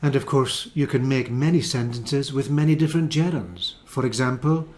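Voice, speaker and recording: A man's voice: medium pitch, normal speed, a little mellow. A man teaching an English grammar lesson, carefully explaining and repeating each example sentence.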